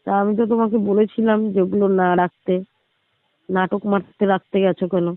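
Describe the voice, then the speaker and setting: Tinny high-pitched, fast-talking man on phone. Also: steady tone. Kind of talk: intimate casual phone chat. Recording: tinny phone-line audio, roomy echo.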